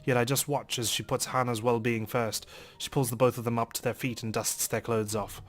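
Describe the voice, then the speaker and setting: Casting: male gamer narrating a visual novel, theatrical read, medium pitch, game music underneath. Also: level tone, fairly quick. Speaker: let's player.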